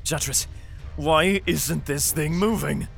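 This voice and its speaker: A man's voice: a little high, takes it slowly, gravelly, fairly bright tone, full character voice. A man playing a desperate father fleeing an alien invasion, narrating his escape in a first-person audio drama. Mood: urgent, anxious. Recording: music bed under the voice.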